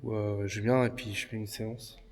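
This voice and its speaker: A man's voice: on the low side, flat delivery, moderate pace. Hesitant man in an echoey derelict hall. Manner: hesitant, many fillers, drops to hushed murmurs. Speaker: paranormal investigator. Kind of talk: exploration vlog, calling out to spirits. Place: large abandoned industrial building, indoors. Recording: echoey hall with faint noise.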